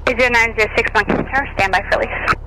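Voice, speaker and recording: A man gives air traffic clearances at an extremely fast clip in a high-pitched voice. He comes through a noisy aircraft radio transmission. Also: fairly even intonation. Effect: aviation radio.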